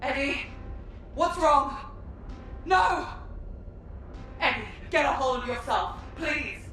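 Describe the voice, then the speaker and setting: Earnest female squad captain in deep echo. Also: animated, slow pace, sharp tone, a little hiss or hum, full character voice. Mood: anguished, pleading. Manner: earnest, firm, emotional. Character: resolute female squad captain, protective but anguished. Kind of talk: dramatic video game acting. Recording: distant, very echoey, music behind.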